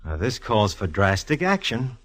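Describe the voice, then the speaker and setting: An actor playing a smart, teasing police inspector in a vintage radio detective drama, with slangy, deadpan banter. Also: male voice, lowish voice, moderate pace. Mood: playful. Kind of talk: 1940s radio detective acting.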